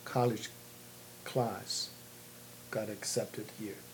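Halting older man. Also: on the low side, fairly even intonation. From Taiwan (born in China). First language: Mandarin Chinese. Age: 60s. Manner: halting, repeats words and phrases. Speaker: interviewee. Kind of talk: personal oral history interview. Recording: noticeable background noise.

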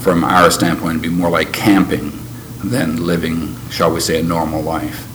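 Deep-voiced older man, slow and reflective. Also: level tone. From Canada. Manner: measured, reflective, with frequent pauses and restarts. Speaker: interviewee. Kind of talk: oral history interview. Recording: noisy background ambience.

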